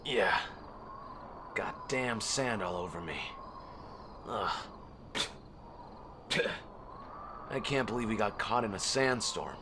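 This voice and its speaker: Casting: young Delta sergeant, deep voice, wry, casual, cocky, audio drama. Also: male voice, expressive, measured pace, background noise. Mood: grumbling. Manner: casual, wisecracking.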